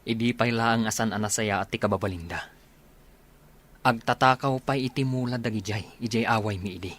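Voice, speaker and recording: A man's voice: on the low side, a little fast, a bit bright, close to an everyday voice. A man playing a loyal, outspoken buddy in a radio drama. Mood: scornful. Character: loyal, outspoken male buddy riding along. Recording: faint car noise.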